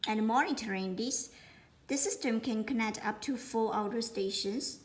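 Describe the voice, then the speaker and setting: A woman giving an unscripted product demonstration, speaking haltingly and often repeating words as she explains. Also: fairly high pitch. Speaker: product presenter.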